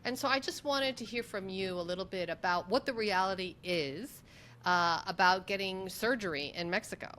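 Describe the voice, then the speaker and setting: A woman's voice: mid-range voice, moderate pace, a bit bright. A woman hosting an interview in a conversational way, effusive and given to emphatic repetition. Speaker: interview host.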